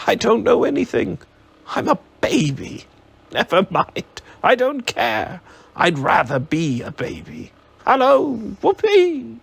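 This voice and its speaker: Male reader as an ecstatic old man, husky, leaping pitch, storybook. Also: lively intonation, takes it slowly, a bit bright, full character voice. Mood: giddy joyful. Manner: exclamatory, laughing and crying at once. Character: elderly miser overjoyed, giddy old man.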